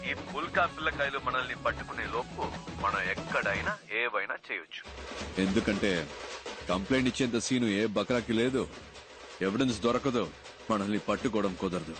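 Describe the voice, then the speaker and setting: An actor playing a bold, defiant young gang leader, speaking in a cocky, taunting, slangy way. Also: male voice, medium pitch, brisk, music in the background, close to an everyday voice. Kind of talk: film drama dialogue.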